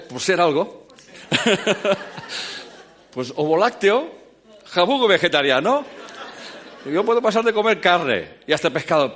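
Animated man lecturing an audience. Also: a little high. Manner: animated, joking, swings between loud and quiet. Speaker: speaker giving a talk.

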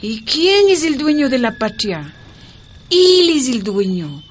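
Frail old Andean woman, slow and tender. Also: sits high, big pitch swings, slight background noise, full character voice. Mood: gently questioning. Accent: Ecuadorian Andean. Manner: slow, affectionate, pleading, full of diminutives. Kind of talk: radio drama acting.

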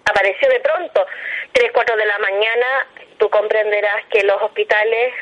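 A woman's voice: medium pitch, moderate pace, fairly bright tone. A Chilean woman recounting a personal experience in an interview. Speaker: interviewed hospital night-shift worker. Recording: dull, lo-fi recorded interview.

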